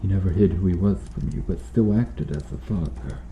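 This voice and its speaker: A man playing a stern medieval knight in an ASMR roleplay, questioning formally and earnestly with dramatic pauses. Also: sits low, mellow, roomy sound, slight background noise. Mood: solemn, insistent. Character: stern medieval knight interrogating an accused noble witch.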